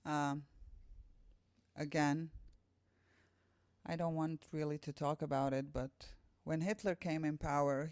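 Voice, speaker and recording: A woman's voice: low voice, darkish tone, no echo. A woman with an Albanian accent, a native Albanian speaker, giving a public talk and poetry reading as a guest poet. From Kosovo. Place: room with a live audience.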